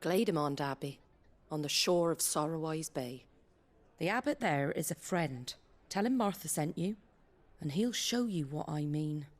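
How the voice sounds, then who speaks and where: Husky, low-voiced, blunt tavern keeper. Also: female voice, lively intonation, measured pace. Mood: confiding, firm. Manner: blunt, colloquial. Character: world-weary, blunt tavern-keeper woman with a secret cause. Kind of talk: video game cutscene acting.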